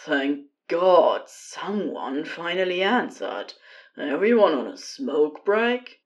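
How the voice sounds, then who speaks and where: A woman's voice: low-pitched, lively intonation, takes it slowly, dull audio. A woman voicing an agitated, embarrassed man on a helpline call in a story, curt and hesitant under strain. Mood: agitated, guarded.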